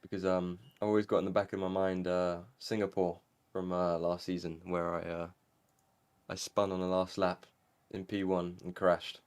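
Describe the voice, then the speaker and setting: A man's voice: low voice, moderate pace, clear, resonant tone. A young man from Angola, interviewed after winning a sim race, talking casually with lots of fillers. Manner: relaxed, rambling with fillers. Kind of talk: casual post-race interview over voice chat.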